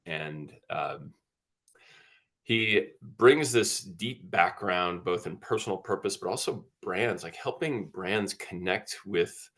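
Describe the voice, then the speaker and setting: A man's voice: low-pitched, slow, a bit bright. A man hosting an interview, introducing his guest earnestly and asking open questions. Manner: affirming, earnest, frequent mm-hmms. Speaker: interview host. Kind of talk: casual interview chat.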